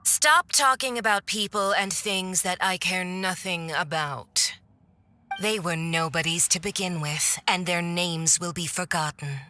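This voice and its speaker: A woman's voice: on the low side, a little slow, pushed and bright, a little hiss or hum. A woman playing a haughty, mocking villainous diplomat in a video game, with a condescending, theatrical drawl. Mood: disdainful. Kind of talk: video game cutscene voice acting.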